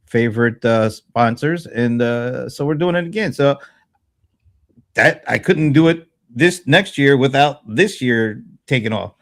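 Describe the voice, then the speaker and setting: A man in his fifties in casual panel chat, thinking aloud in a halting way and repeating words. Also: medium pitch. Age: 50s. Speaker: panelist on a small business show.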